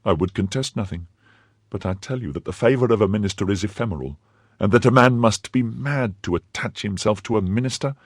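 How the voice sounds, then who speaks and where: A man voicing an indignant young wife who scolds her husband, pushing his husky voice higher. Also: brisk, no echo, studio quality. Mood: scornful. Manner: scornful, pleading and scolding by turns. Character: young woman, indignant, fiercely loyal wife.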